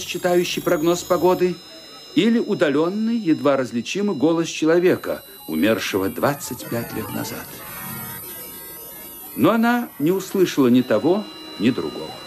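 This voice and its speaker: A man narrating a radio mystery play with suspenseful, deliberate phrasing and dramatic emphasis. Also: fairly high pitch. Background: music.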